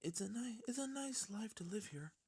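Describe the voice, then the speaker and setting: Timid young pegasus mare played by a man, gentle and hesitant, pitched well up, for a fan fiction story. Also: lively intonation, moderate pace, breathy edge, full character voice. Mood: gentle, sweet. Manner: hesitant, soft-spoken.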